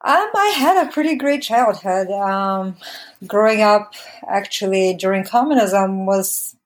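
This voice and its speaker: A woman's voice: mid-range voice, expressive, a little slow. A woman, recalling her life conversationally as an interview guest, in a hesitant, reflective way. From Bulgaria. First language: Bulgarian. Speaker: interview guest, documentary filmmaker. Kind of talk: conversational personal recollection.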